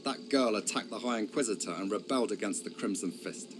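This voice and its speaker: A man's voice: fairly low pitch, brisk, background noise, lightly acted. A man voicing a blunt, accusing old counselor. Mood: worried. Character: old male royal counselor, sharp-tongued and worried.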